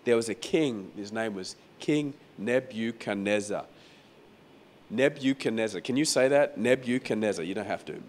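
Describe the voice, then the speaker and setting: A man preaching a sermon as pastor in a croaky, hoarse voice, talking conversationally and repeating phrases for emphasis. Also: lowish voice, normal speed, slight background noise. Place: venue hall during a church service.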